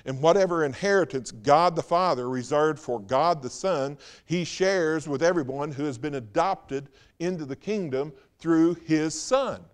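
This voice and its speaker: A man preaching a Sunday sermon as pastor, firing rhetorical questions, then dropping to a hushed, slow voice for emphasis. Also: a little high, clean tone. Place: church sanctuary.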